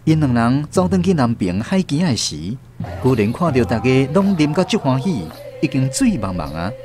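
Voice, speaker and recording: Male narrator, Taiwanese accent, very lively intonation, dramatic radio storytelling over clear background music. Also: medium pitch, normal speed.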